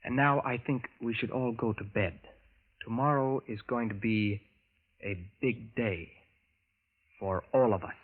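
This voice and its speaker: A man acting in a 1940s radio drama as a cryptic visitor from the future, speaking in measured, formal phrases. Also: medium pitch, a little hiss or hum, natural voice. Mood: cryptic, solemn. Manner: measured, formal, avoids contractions. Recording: tinny vintage radio broadcast with music.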